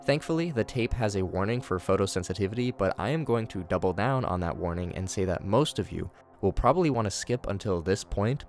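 Low male voice, scripted casual commentary, wry asides, soft music behind. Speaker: host of a horror series commentary channel.

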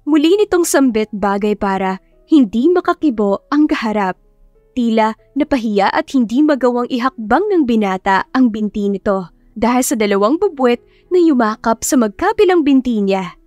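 Filipino woman narrating, higher-pitched voice. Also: animated, normal speed, dry recording. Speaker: audiobook narrator. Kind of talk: scripted dramatic narration of a romance story. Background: soft background music.

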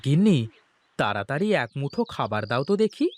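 A man voicing a humble, sentimental village boatman with colloquial rural banter in an animated folk tale. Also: mid-range voice, brisk, a little hiss or hum, lightly acted. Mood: cheerful, busy. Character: cheerful humble village boatman, doting husband.

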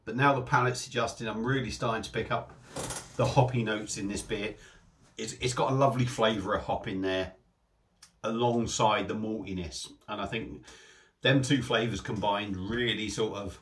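A man's voice: lowish voice, steady tone, moderate pace, a bit bright. A man, casually reviewing a beer to camera from a small home room on a desk webcam, chatty and prone to restarting his phrases.

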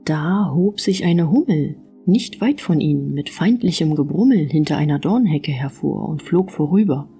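Expressive, low-voiced woman reading fairy tales. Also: normal speed, few pauses, faint music behind. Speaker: audiobook narrator. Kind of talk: expressive fairy-tale storytelling.

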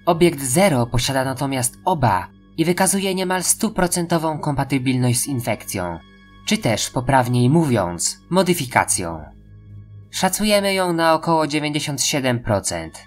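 A woman's voice: extremely low pitch, very animated, moderate pace, soft background music. A woman playing a deferential female research scientist, giving a formal, clinical briefing in an audio drama.

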